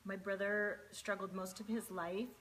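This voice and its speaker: A woman in her forties, chatting casually on a home live stream from a desk mic. Her voice is bright and forward, sitting at a middle pitch, and she greets viewers by name and fills her pauses with plenty of ums. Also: level tone, a little hiss or hum, rough recording. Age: 40s.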